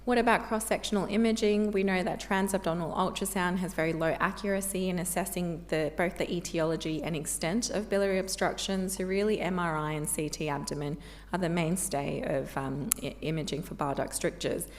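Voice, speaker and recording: A woman specialist giving a medical conference lecture in a measured, informative way while losing her voice. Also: fairly low pitch, steady tone. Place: conference hall. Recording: long hall reverb.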